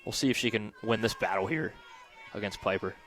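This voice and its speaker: A man giving conversational color commentary on a softball game, explaining plays and now and then repeating his words. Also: on the low side, a little fast. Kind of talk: analytical sports commentary.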